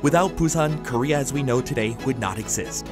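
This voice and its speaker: Male promo voice-over, even read, clear music bed. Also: medium pitch, brisk. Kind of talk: scripted promotional narration.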